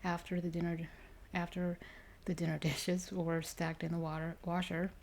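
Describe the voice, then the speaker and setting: A woman reading a story aloud ASMR-style, soft and unhurried, partly whispered. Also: lowish voice, little pitch movement, vocal fry. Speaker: ASMR reader. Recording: faint noise behind the voice.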